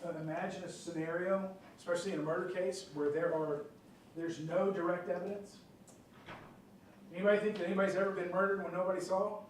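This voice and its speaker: A man explaining trial rules to a jury panel in a conversational way, often checking in with "okay?" and "right?". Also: high-pitched. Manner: conversational, many fillers and check-ins like "okay?". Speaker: prosecutor questioning jury panel. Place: large courtroom. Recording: echoey courtroom picked up from far off, light noise.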